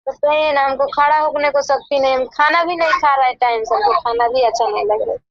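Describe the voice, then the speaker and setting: A woman's voice: sits high, steady tone, fairly quick, no echo. A woman with a Bihari accent on a phone call, answering defensively with curt retorts in casual conversation. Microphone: telephone call. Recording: muffled lo-fi recorded phone call.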